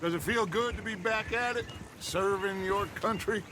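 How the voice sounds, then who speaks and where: An actor playing a silver-tongued, grandiose outlaw gang leader in a western video game, theatrical and sardonic. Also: male voice, high voice, normal speed, lightly acted. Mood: teasing. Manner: theatrical, smooth-talking, sardonic. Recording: clear background noise.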